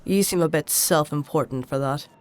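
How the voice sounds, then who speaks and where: A woman playing a learned, stubborn alchemist-healer in a dark fantasy audio drama, measured and dryly sardonic as she explains. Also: low-pitched, close to an everyday voice.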